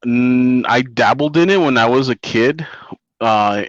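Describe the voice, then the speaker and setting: A man in his forties whose first language is Spanish, telling stories in a relaxed, rambling way as an interview guest over a call. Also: medium pitch, a little slow, dull audio. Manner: relaxed, rambling storyteller with many fillers. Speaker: interview guest, comic writer. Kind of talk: casual interview conversation.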